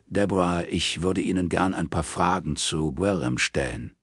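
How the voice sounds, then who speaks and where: A polite male investigator in a crime audiobook, acted by a male narrator. The voice is low and dryly recorded, with careful, measured questioning. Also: husky, no room sound, clean studio sound. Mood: polite, probing.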